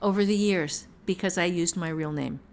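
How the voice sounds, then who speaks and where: A woman's voice: lowish voice, moderate pace. A woman hosting a casual podcast conversation about writing, speaking frankly with the odd mild swear. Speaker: podcast host and fiction writer.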